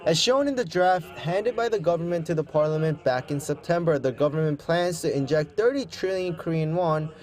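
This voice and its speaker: A man with a fairly high-pitched voice narrates a scripted news package. He speaks in the formal style of a broadcast reporter. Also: fairly quick, hardly any pauses, darkish tone.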